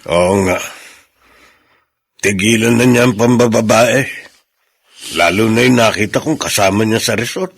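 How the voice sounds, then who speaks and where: A disappointed father in a radio drama, lamenting his family. The voice is lowish and rough with breath. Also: measured pace, long pauses. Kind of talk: Filipino radio drama acting.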